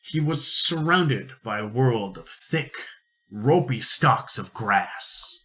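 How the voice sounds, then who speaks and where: A man narrating an amateur science-fiction radio play in deliberate storytelling phrases. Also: medium pitch, animated, pushed and bright.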